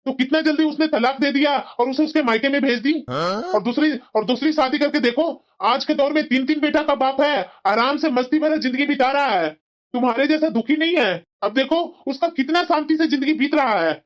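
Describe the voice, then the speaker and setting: A man's voice: extremely high pitch, a little fast. Pushy, meddling cartoon buddy acted by a man, muffled. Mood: pushy, persuasive. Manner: insistent, persuasive, familiar. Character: meddling, pushy male friend giving callous advice. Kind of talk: cartoon moral drama acting. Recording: dull, muffled lo-fi sound.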